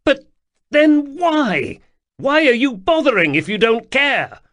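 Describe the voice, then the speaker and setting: A man voicing a high, strained young nobleman. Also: big pitch swings, dry recording, studio quality. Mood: shouting, tearful. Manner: pleading, quick to break into shouting. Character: desperate young noble man, anxious and proud.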